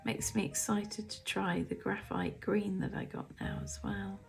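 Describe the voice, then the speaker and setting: A woman with a croaky throat from the cold, casually talking through her paints as she swatches them, often half-whispering and musing aloud. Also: medium pitch, fairly even intonation, a little slow, darkish tone, soft background music. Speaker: artist demonstrating paints. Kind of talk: casual craft tutorial chat. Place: home art studio.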